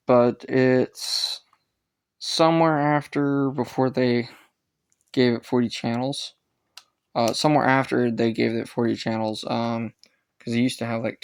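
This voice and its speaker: Male hobbyist reviewer, mid-pitched with noticeable fry; unscripted, halting, casual delivery that doubles back to correct itself. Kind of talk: casual rambling gadget review.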